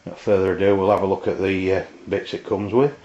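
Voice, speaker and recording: A man reviews a product off the cuff. His delivery is halting and informal, feeling its way from point to point. The sound is dull and lo-fi, like an amateur video. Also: low-pitched, steady tone, darkish tone. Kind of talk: casual unscripted review.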